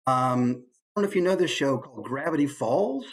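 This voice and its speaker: A man's voice: medium pitch, fairly quick. A man giving a casual, playful instructional talk as a voice actor. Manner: animated, playful.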